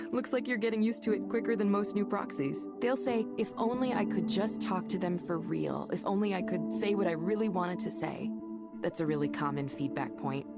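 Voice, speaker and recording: A woman's voice: medium pitch, normal speed, natural voice. A woman playing a supportive, pragmatic veteran colleague in a video game, speaking in a casual, reassuring, matter-of-fact way. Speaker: experienced counselling supervisor. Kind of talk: conversational video game acting. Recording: prominent music behind the voice.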